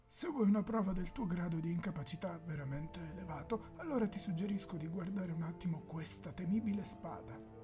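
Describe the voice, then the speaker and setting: A woman's voice: on the low side, a little fast, close to an everyday voice. A woman voicing a pompous, mocking villain who sneers contemptuously in an amateur parody dub. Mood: scornful. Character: taunting, contemptuous villain with grandiose speech. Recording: quiet voice under clear music.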